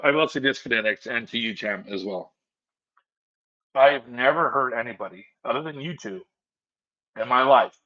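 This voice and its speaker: A man's voice: mid-range voice, bright, forceful tone. Earnest middle-aged man on lo-fi voice chat. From Southern California. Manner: earnest, talks in bursts with restarts. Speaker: voice chat participant. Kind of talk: casual chat. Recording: lo-fi voice chat audio.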